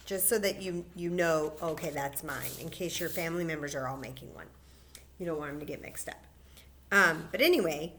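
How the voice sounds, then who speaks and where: A woman's voice: mid-range voice, a bit bright. A woman hosting a library story time, guiding children patiently through a paper plate craft step by step.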